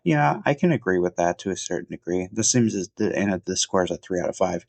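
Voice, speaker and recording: Male film reviewer, deep voice, stuffed-up and sniffly, meandering casual delivery, dry room. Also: animated, fairly quick, gravelly, darkish tone, no echo. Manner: rambling, many fillers and restarts. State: sniffly from a cold. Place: at home.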